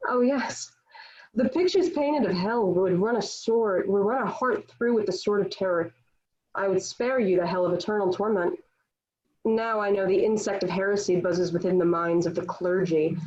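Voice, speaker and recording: A woman's voice: medium pitch, normal speed, darkish tone, highs cut off, close to an everyday voice. A woman playing a defiant, devout young warrior held prisoner, speaking earnestly and declaiming in a table read of a historical play. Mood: fervent.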